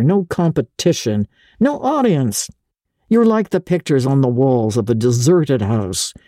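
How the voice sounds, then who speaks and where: A woman's voice: sits low, mellow, no room sound, studio quality. Woman playing a bitter, impassioned male intellectual, swooping intonation. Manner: scornful, exhorting, literary.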